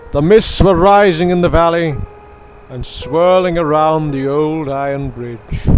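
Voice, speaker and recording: A man's voice: a little high, noisy background. A man reading scripted, dramatic narration as the storyteller of a children's audio drama.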